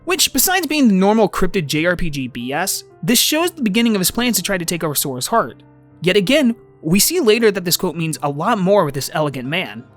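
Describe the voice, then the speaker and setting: High-pitched, very animated male video essayist. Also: fairly quick, soft background music. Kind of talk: informal scripted fan commentary.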